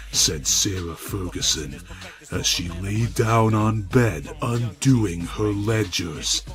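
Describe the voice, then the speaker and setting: A man narrating a scripted dramatic story in a deliberate, mock-serious manner. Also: fairly low pitch.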